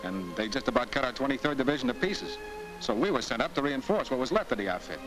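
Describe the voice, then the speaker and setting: An actor playing the regretful ghost of an American soldier in a plain-spoken 1940s film monologue. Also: male voice, medium pitch, big pitch swings, fast, lightly acted, rough recording. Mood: rueful. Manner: plain-spoken, rueful, confessional. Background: music.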